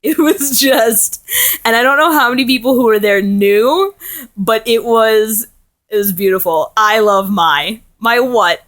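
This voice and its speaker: Chatty young woman with a bright voice. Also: a little high, a little slow. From United States. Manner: chatty and rambling, many ums and likes. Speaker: teacher abroad vlogging. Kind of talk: casual video letter to a friend.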